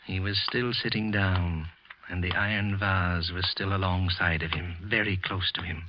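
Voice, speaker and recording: A man's voice: sits low, steady tone, natural voice. Muffled old-radio actor as a brooding male killer. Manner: deliberate, brooding, intense. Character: guilty office worker confessing murder, coldly deliberate yet nervous. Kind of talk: old-time radio thriller acting, first-person narration. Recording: muffled vintage radio recording with music.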